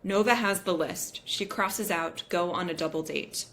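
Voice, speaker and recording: Low-voiced woman narrating, faint noise behind. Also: normal speed, a bit bright. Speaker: stage-direction narrator. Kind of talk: scripted screenplay narration.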